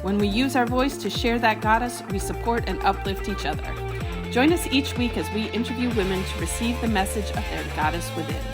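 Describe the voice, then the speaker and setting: A woman's voice: mid-range voice, a little fast. A woman reading a scripted podcast introduction as an announcer. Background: music.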